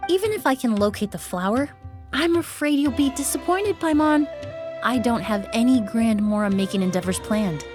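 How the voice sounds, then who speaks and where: An actress voicing a refined young woman perfumer in a video game, speaking in a measured, articulate way. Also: on the high side, animated, over music, natural voice. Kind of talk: game voice acting.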